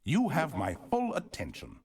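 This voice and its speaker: An actor playing a polite but guarded museum collector in a video game, courteous and evasive. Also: male voice, normal speed. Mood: smooth. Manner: polite, evasive, lively pitch.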